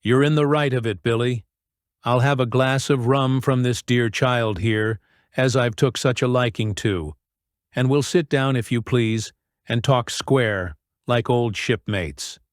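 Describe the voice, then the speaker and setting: A man voicing a fawning, sneering middle-aged pirate with a slow drawl, on a close, dry mic. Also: medium pitch, no room sound, close to an everyday voice. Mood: sly. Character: wheedling menacing middle-aged male pirate.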